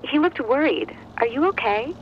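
A woman's voice: high-pitched, lively intonation, normal speed, dull audio, a little hiss or hum. A woman playing a breezy, watchful ally talking over a two-way radio in a video game. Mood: concerned, curious. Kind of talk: video game cutscene acting.

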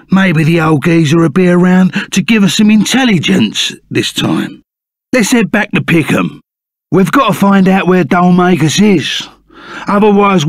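Big rough cockney bandit sidekick for a game, blunt n slangy, slowish, kinda high for a bruiser. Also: male voice, dry recording, clean studio sound. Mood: matter-of-fact. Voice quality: gravelly. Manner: blunt, slangy working-class speech. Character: burly, rough but loyal bandit sidekick. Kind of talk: video game voice acting.

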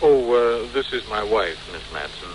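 A man's voice: lowish voice, lively intonation, moderate pace, close to an everyday voice. Actor as a plain-spoken man, hissy old radio. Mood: subdued. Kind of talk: 1940s radio acting. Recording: noisy, hissy vintage radio recording.

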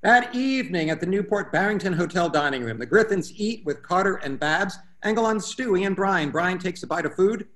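A man's voice: sits high, lively intonation, brisk, hardly any pauses, a bit bright. A man reading stage directions as scripted narration at a table read over a video call. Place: room at home, video call.

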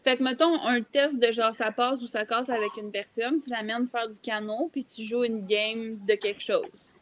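Young quebec woman, bit high pitched, casual chat, other people clearly audible on the call. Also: steady tone, normal speed, crowd noise. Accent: Quebec French. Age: young adult. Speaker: podcast panelist. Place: room at home, video call. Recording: group video call with other voices.

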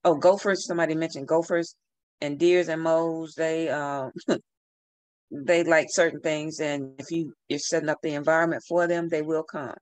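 A middle-aged woman giving relaxed, repetitive gardening advice over a video call from a room at home. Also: sits low, moderate pace, no echo. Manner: relaxed, advising, repeats herself. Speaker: gardening speaker. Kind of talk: casual online talk. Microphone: video call webcam.

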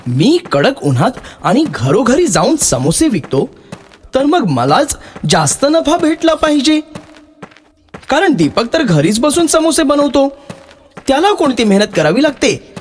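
Man putting on a high-pitched selfish young samosa seller. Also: very animated, measured pace, breathy edge, exaggerated voice. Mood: greedy, resentful.

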